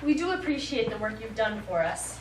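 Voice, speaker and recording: Actress as high-voiced, poised, scornful woman on stage. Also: animated, fairly quick, close to an everyday voice. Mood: polite, controlled. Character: brisk, poised woman client, coolly scornful. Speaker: stage actor. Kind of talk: live comic one-act stage play acting. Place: small theatre studio stage. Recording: echoey stage from far off, faint noise.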